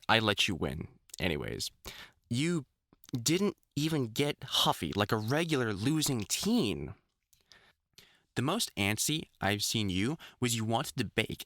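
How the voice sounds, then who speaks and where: A man playing an older, experienced vampire hunter in an intimate audio roleplay, talking to the listener in a halting, wry, earnest way. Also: medium pitch, pushed and bright, no room sound, studio quality, exaggerated voice. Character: gruff, caring older experienced male vampire hunter, mentoring.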